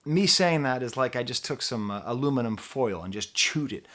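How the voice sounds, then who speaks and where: A man giving a casual on-camera album review, talking in an animated, rambling and self-deprecating way. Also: medium pitch, normal speed. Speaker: music reviewer.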